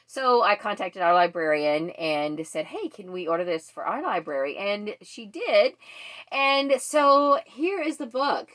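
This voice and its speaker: A woman's voice: medium pitch, big pitch swings, unhurried, fairly bright tone. A woman hosting a casual book vlog, chatting to viewers in a rambling way that wanders off on tangents.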